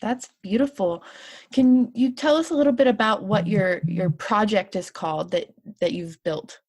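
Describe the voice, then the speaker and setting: A woman's voice: medium pitch, normal speed, a little mellow. A woman hosting an interview podcast, introducing her guest and asking friendly questions. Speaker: podcast host.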